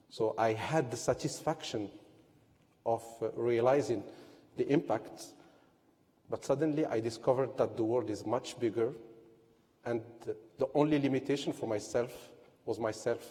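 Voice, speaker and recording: A man from Morocco, an entrepreneur telling his story on a conference stage, measured and pausing with fillers. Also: medium pitch, dark tone. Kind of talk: personal story on a conference panel. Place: large conference hall. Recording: long hall reverb.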